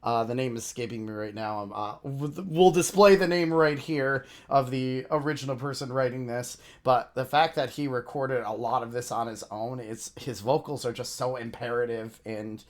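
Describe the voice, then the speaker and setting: Male reaction host, medium pitch. Casual, rambling, openly gushing and excitable commentary. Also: moderate pace, few pauses. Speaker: reaction video host, VTuber.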